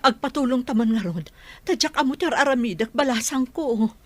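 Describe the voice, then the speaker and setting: A woman's voice: fairly high pitch, animated, a little fast, dry recording. A woman playing an anxious mother in an amateur audio drama, with an Ilocano accent. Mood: worried. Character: anxious mother searching for her missing daughter.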